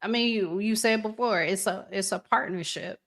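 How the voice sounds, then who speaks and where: A woman's voice: mid-range voice, moderate pace, gravelly, fairly bright tone. A woman hosting a relationship discussion in an earnest, reflective conversational style, repeating phrases for emphasis. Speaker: relationship podcast host asking questions.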